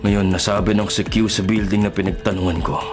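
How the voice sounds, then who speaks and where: A man's voice: lowish voice, level tone, a little fast, music in the background, lightly acted. A Filipino man acting a ruthless, middle-aged hitman and father in a radio drama, with a menacing, theatrical delivery. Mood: cold, plotting.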